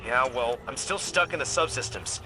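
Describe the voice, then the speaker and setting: A man's voice: medium pitch, expressive, moderate pace, noisy background, natural voice. Man playing a protective veteran soldier over comms. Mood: focused. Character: dutiful, protective veteran male soldier guardian. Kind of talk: video game voice acting.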